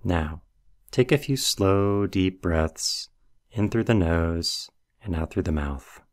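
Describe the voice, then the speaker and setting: A man with a low-pitched voice narrates a guided meditation. He gives instructions in a measured way, working from a script as a meditation guide.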